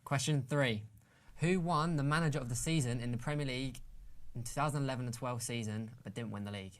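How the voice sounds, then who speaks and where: A man's voice: medium pitch, quick pace. A man acting as quizmaster on a football podcast, reading out questions, counting down the seconds and teasing the panel. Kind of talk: reading quiz questions, casual banter.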